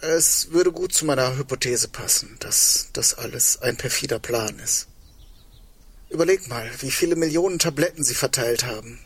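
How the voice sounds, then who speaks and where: An actor playing a brooding, sardonic man in a radio drama, breathy and dryly questioning. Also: medium pitch, normal speed, close to an everyday voice. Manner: questioning, dry. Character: probing, brooding, sardonic man awaiting the end. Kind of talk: radio drama dialogue acting.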